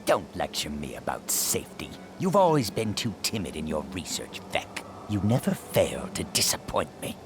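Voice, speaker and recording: An actor playing a cranky, arrogant old gnome-like inventor in a fantasy video game, barking with impatient scorn. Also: male voice, low voice, big pitch swings, moderate pace, few pauses. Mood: irritated. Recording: clear music underneath.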